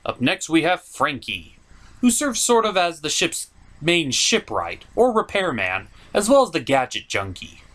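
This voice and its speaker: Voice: medium pitch, big pitch swings, a little slow, fairly bright tone. A host doing a scripted comedic video review in an opinionated, sarcastic, mock-exasperated way.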